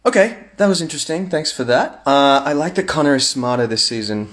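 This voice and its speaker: A man's voice: on the high side, expressive, brisk, a bit bright. A young man chatting casually on a phone livestream at home, reading fan comments aloud with playful asides.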